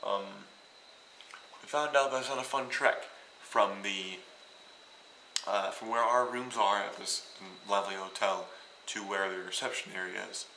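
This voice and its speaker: A young man from Iowa rambling and joking as he vlogs from a hotel room, now and then bursting out loud. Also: lowish voice, unhurried, reverberant, slight background noise, poor audio. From Iowa, United States. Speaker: vlogger. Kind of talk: casual travel vlog.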